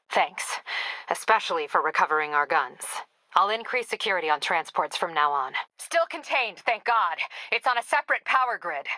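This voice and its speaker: A woman's voice: medium pitch, bright, forceful tone, no echo. An American woman playing a tough, driven police captain in a video game, speaking in a clipped, authoritative, dry manner.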